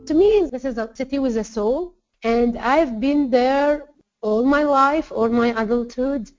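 A woman's voice: sits high, unhurried, darkish tone, faint music behind. A woman gives personal testimony in an interview, speaking emphatically in repeated lists. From Syria. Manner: emphatic, builds repetitive lists. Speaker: interviewee, writer. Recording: slightly dull lo-fi sound.

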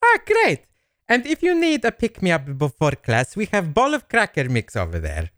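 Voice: mid-range voice, huge pitch swings, moderate pace, a bit bright, no room sound, clean studio sound. An actor playing a flustered, polite foreign manager of a language school, hesitant and stammering in a comedy drama. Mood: businesslike.

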